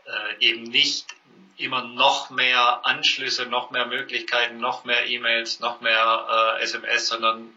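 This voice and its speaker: A man's voice: medium pitch, monotone, measured pace, sharp tone, far from the mic, muffled recording. A man answering as in an interview, hesitant and building his point in fragments.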